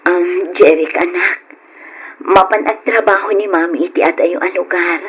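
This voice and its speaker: A woman acting in an old Ilocano radio drama as a devoted young mother, tender, pleading and emotional, with a husky voice. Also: fairly low pitch, normal speed, lo-fi sound. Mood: gently reassuring. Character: devoted young mother leaving her children to work abroad.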